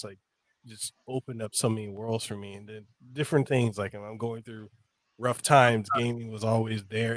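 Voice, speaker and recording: A man's voice: medium pitch, no echo. Rambling male podcast host from Samoa. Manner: loose and rambling, full of "like" and restarts. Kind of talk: casual interview chat.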